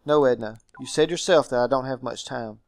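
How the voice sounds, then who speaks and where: A man's voice: medium pitch, brisk, creaky, a little mellow, no echo, natural voice. A man voicing a curious young male academy student in a game, with a questioning manner. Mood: brisk.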